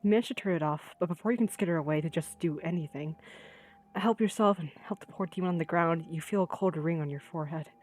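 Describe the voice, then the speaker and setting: A woman with a fairly low voice reads a visual novel aloud as narrator of her gaming playthrough. Light music plays behind her. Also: fast talker, a little mellow. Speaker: gaming video creator reading aloud.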